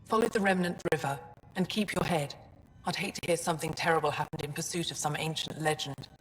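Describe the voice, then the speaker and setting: An actress playing a guarded, no-nonsense female alien scientist in a video game, speaking in a measured, frank way. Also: low voice, fairly even intonation, lightly acted. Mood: cautioning. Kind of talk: video game dialogue acting. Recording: long reverb, music underneath.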